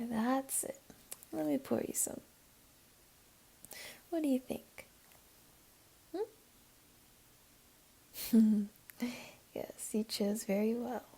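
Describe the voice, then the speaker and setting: Casting: woman to play teasing, doting jaguar girl for ASMR roleplay; soft, mellow, whispery, slightly high voice. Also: heavily acted. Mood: coaxing, playful. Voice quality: soft, breathy, often whispery. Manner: teasing, cooing, soothing. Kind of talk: ASMR roleplay, intimate one-to-one.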